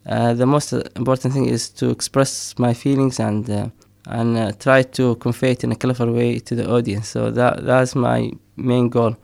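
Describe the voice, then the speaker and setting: Man, middle-aged, gravelly, dry close sound, hesitant with fillers. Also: medium pitch, little pitch movement, moderate pace, hardly any pauses, a little mellow, dry recording. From Somaliland. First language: Somali. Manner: hesitant, many fillers, frequent laughs. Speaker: poet and storyteller. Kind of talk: casual interview talk.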